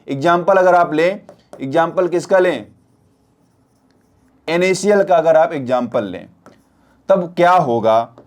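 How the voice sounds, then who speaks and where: A man's voice: on the high side, darkish tone. A man teaching an exam-prep science lesson, repeating points and often checking with questions that students follow. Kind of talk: spoken classroom lesson.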